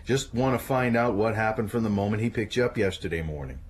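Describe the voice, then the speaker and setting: An actor playing a big, patient police sergeant in a radio drama, soft-spoken and reassuring. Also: male voice, fairly low pitch, animated, fast talker, husky. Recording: noisy ambient background.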